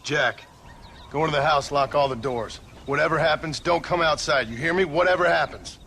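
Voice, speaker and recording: An actor playing a protective frontier rancher and ex-outlaw with a rural American Western drawl and a plainspoken, commanding manner. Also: male voice, fairly high pitch, fairly quick, bright, forceful tone, a little hiss or hum. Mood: grave, firm. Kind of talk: video game voice acting, Western drama.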